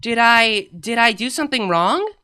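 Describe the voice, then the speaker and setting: A woman's voice: on the high side, lively intonation, moderate pace, smooth, pitched above the performer's own voice. A woman voicing a cheerful, zealous young female pony princess in a storybook comedy, with an eager, emphatic manner. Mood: puzzled. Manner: bright, eager, emphatic delivery.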